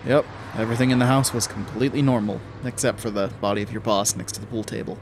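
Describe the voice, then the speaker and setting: A man's voice: mid-range voice, fairly even intonation, fast talker, slight background noise, close to an everyday voice. Male federal agent, acted, audio horror series. Matter-of-fact, guarded, medium register. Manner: dry, clipped, matter-of-fact. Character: guarded, dry federal agent of an obscure underfunded division. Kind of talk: horror audio drama acting.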